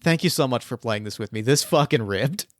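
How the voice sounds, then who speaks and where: A man's voice: medium pitch, expressive, fairly quick, no echo, clean studio sound. A man hosting a tabletop game podcast, chatting casually after play and rambling with lots of filler words. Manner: rambling, heavy use of "like" fillers. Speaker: game master and podcast host. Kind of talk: casual post-game chat.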